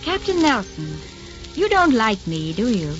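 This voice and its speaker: Vintage muffled radio drama, gracious lady, wistful, poised, animated intonation. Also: on the high side, unhurried, soft background music. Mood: poised, intense. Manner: refined, poised, expressive. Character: gracious, wistful young society lady, ambassador's wife. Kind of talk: 1940s radio drama acting. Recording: dull old radio recording.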